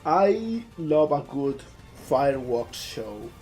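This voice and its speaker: Streamer w andalusian spanish accent, mellow voice, chatty n casual, bit of music behind. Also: medium pitch, big pitch swings, takes it slowly. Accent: southern Spanish (Andalusian). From southern Spain. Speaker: live stream host. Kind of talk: casual live commentary on game trailers.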